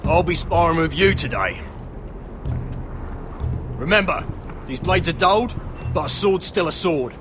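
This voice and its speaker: An actor playing a gruff, commanding drill master in a video game, barking short orders at recruits. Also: male voice, fairly high pitch, lively intonation, measured pace, long pauses, background noise. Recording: very loud music under the voice.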